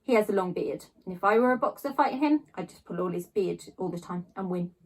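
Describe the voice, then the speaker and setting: A woman reading a children's novel aloud, narrating in a child's first person with expressive storytelling emphasis. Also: medium pitch, a little fast, rough recording. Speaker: storybook reader.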